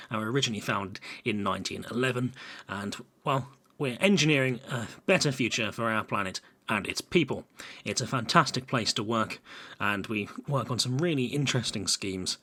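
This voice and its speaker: A young man from Brighton and Hove, an engineer giving a casual, chatty technical presentation with frequent filler words. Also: mid-range voice. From Brighton and Hove, England. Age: young adult.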